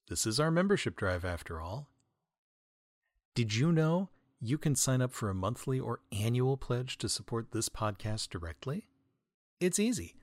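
Male comic host for a scripted mailbag segment; expressive, swooping announcer read with dry wit, in a dry, echo-free recording. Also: mid-range voice. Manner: mock-earnest, dry, wry delivery. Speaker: podcast host.

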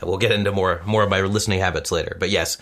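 A man's voice: deep voice, fairly even intonation, fast talker, fairly bright tone. A man speaking as a podcast guest, chatting casually in a wry, self-deprecating way. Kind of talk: casual interview chat.

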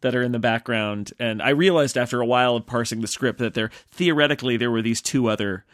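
A man's voice: medium pitch, fast, fairly bright tone, no room sound, studio quality. A middle-aged man chatting casually as host and producer on a behind-the-scenes panel. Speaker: podcast host and radio drama producer.

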